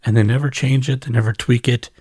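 A man speaks by himself in a very dry recording with little room sound. He has a mid-range voice and talks off the cuff like a podcaster. His delivery is conversational and thoughtful, using pauses and repeated phrases for emphasis. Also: mid-range voice, level tone, darkish tone, breathy edge, no room sound. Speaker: podcast host and newsletter writer. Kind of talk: casual reflective solo monologue, off the cuff.

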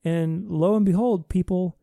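A man's voice: sits high, fairly even intonation, unhurried, vocal fry, mellow, dry recording, studio quality. A man hosting a podcast, talking earnestly in a reflective solo monologue, with many restarts. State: recovering from voice loss.

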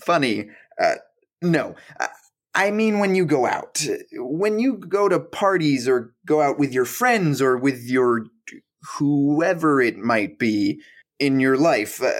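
An actor playing a shy, awkward young map conservator in a romantic comedy audio play, stammering and rambling nervously. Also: male voice, on the high side, very animated, slow, full character voice. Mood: awkward, flustered. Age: young adult.

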